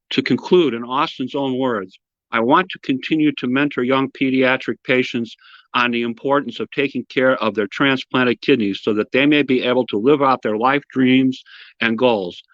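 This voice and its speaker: Host, man, announcer-style energy, mid pitch, dull dry audio. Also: fairly bright tone, no room sound. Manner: enthusiastic announcer-style intro. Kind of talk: live show introduction and interview.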